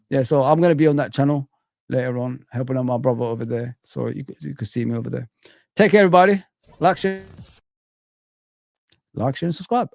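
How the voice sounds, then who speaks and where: Gravelly young man on a relaxed stream. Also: medium pitch, no room sound. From Somalia. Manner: relaxed, rambling, calls viewers bro. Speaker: live stream host. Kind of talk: casual live chat reading comments.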